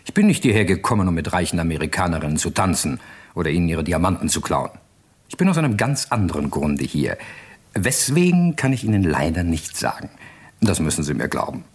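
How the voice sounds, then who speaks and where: Suave, husky gentleman agent, swooping intonation. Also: sits low, sing-song, fairly quick, exaggerated voice. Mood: evasive, unflustered. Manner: urbane, unflustered, evasive. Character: suave, evasive English gentleman secret agent. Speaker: actor in a crime radio play. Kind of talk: German radio crime drama acting.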